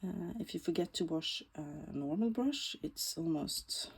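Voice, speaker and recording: A woman with a Swedish accent, Swedish native, talking through a bookbinding tutorial in a slow, thoughtful way that often drops to a soft whisper while she works. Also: fairly low pitch, animated, husky. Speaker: bookbinding crafter. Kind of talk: casual craft tutorial.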